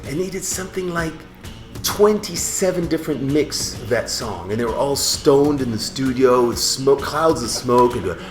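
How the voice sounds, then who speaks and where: A man who grew up in Switzerland, telling lively stories as an interview guest and mimicking sounds along the way. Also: medium pitch, moderate pace. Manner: animated, imitates sounds and voices while telling stories. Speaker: singer-songwriter guest. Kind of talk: casual storytelling interview. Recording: echoey room with guitar music behind.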